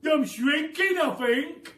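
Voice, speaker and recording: A man voicing a nasty old husband from a children's storybook, helpless and frustrated, wailing loudly. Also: very high-pitched, pitched above the performer's own voice, full character voice. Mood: alarmed. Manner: loud, drawn-out wailing. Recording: echoey room, distant mic, faint noise.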